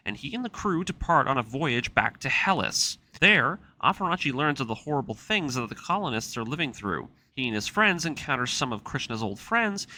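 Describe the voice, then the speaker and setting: A man's voice: on the high side, sharp tone. A man reading a scripted story recap as the narrator of a video essay. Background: music.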